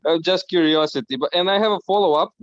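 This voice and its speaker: Dull call audio, higher-voiced Croatian man. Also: fast talker. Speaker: audience member asking questions. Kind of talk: casual questions on an online call.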